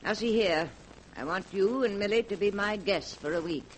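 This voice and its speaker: A woman's voice: medium pitch, normal speed, a little hiss or hum, natural voice, low-quality recording. A woman playing a shrewd, probing old aunt. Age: older. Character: shrewd wealthy elderly aunt, cool and probing. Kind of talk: 1940s radio acting.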